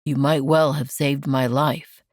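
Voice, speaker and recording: A woman voicing a guarded, stoic male rancher in an audiobook, speaking in a reserved, halting way. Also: steady tone, no room sound, studio quality. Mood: reserved, softly sincere. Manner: reserved, halting, low-voiced.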